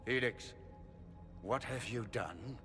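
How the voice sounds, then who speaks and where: An actor playing a proud, menacing middle-aged magister in a video game, speaking formally with condescending, theatrical smoothness. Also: male voice, medium pitch, slow, a bit bright. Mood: cold contempt. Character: proud menacing Tevinter magister and father, smooth-spoken villain. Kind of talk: dramatic video game acting. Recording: long hall reverb, faint music behind.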